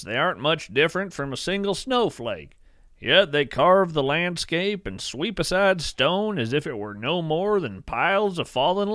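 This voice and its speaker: A man playing an earnest, awestruck naturalist, narrating in a poetic, measured storytelling style. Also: a little high, fairly bright tone, dry recording, clean studio sound. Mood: earnest, admiring. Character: awestruck bearded male naturalist who studies strange creatures. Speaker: host and narrator of a fiction podcast. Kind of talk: scripted first-person narration, storytelling.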